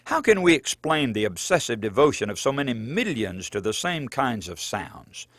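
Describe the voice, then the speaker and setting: A man reading his own book aloud as scripted audiobook narration, in an earnest, measured preaching cadence. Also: medium pitch, big pitch swings, a bit bright, no room sound.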